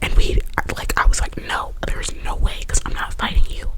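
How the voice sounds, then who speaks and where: Whispering woman telling a chatty story. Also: normal speed. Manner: chatty, full of "like" and restarts; whispering throughout. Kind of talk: whispered ASMR story time.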